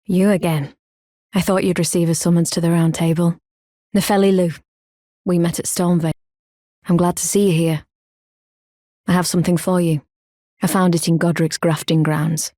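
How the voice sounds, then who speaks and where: An actress voicing a proud, earnest warrior woman in a video game, speaking in a solemn, measured, formal old-fashioned way. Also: lowish voice, little pitch movement, dark tone, no room sound, clean studio sound, close to an everyday voice. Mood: resolute, courteous. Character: proud, earnest woman warrior, grown, stoic and honour-bound. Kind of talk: video game character acting, formal archaic speech.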